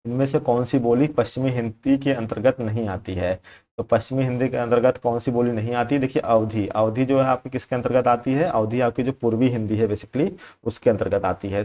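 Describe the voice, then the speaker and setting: Young male tutor, mid-pitched voice, chatty, repeats points and checks in often. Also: warm tone. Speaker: exam prep teacher. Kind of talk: explaining exam questions to viewers.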